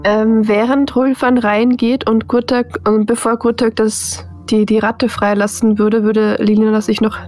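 A woman's voice: on the high side, little pitch movement, unhurried, hardly any pauses, a little mellow, soft background music. A woman casually narrating her character as a player in a tabletop role-playing game.

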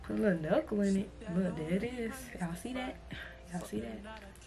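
A woman's voice: mid-range voice, moderate pace, gravelly. A woman in her twenties chatting playfully to her viewers while fixing her hair in her bedroom. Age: 20s. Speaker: vlogger. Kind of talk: casual chat to camera. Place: bedroom, indoors. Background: music playing.

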